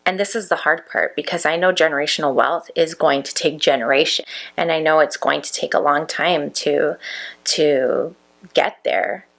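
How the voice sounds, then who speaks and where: A woman's voice: low voice, vocal fry, fairly bright tone, rough recording. A woman in her thirties, a makeup business owner, chatting casually to camera and reflecting aloud, with plenty of "like" fillers. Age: 30s. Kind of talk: casual chat to camera while doing makeup.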